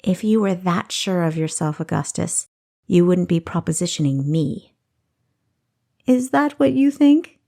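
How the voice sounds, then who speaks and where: A woman playing a sharp-tongued, sarcastic young female adventurer in a fantasy story, full of scorn. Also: fairly low pitch, expressive, measured pace, vocal fry. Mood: dismissive.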